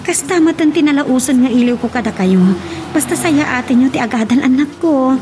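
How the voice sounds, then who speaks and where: A woman's voice: sits high, a little fast, background noise, natural voice. A woman playing a hardworking, homesick mother working abroad in a radio drama, speaking with an Ilocano accent. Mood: tender.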